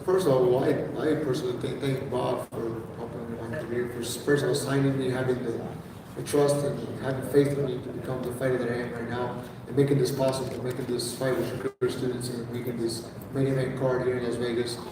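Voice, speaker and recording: Flat-voiced male boxer in an echoey hall. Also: medium pitch, brisk, background noise. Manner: earnest, repeats "you know". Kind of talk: press conference answers. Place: large event room. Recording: echoey hall picked up from far off.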